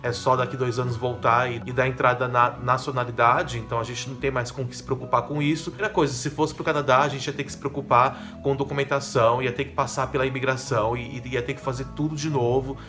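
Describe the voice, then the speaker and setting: A man's voice: medium pitch, fairly even intonation, fast, hardly any pauses. A man from São Paulo with a paulistano accent, chatting casually to his viewers as a vlogger and often checking in with tag questions. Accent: São Paulo Brazilian Portuguese. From São Paulo, Brazil. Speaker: vlogger on living in Portugal. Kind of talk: casual talk to camera. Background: soft guitar music.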